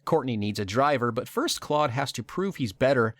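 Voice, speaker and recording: A man's voice: mid-range voice, expressive, no room sound. Wry man narrating with dry sound. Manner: wry, dramatic pauses, laughs at own lines. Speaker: podcast co-host. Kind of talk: scripted narration of a game plot.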